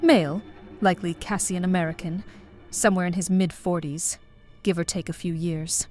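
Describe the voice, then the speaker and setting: A woman playing a deadpan, oblivious, quirky police detective in a comedic audio drama, speaking matter-of-factly. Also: fairly low pitch, normal speed, soft background music, natural voice. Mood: clinical, matter-of-fact.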